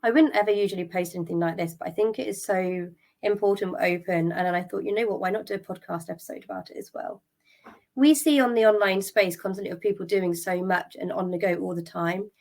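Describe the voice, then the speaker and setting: A middle-aged woman talks into a podcast microphone, her voice a little croaky from a cold. She speaks candidly and sounds drained, thinking aloud with ums and small restarts. Her pitch sits in an ordinary middle range. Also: fairly quick, a little mellow. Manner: tired and drained. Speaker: podcast host. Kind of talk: solo podcast talk.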